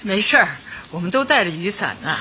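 A woman's voice: medium pitch, very animated, fairly quick, sharp tone, muffled recording, slight background noise. An actress playing an elderly, kindly community Party secretary in a radio drama, hearty, motherly and decisive. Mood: warm, fussing.

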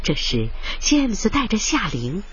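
A woman's voice: medium pitch, big pitch swings, normal speed, lightly acted. A woman narrating a radio drama in a scripted, storytelling style. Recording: muffled radio drama recording, music underneath.

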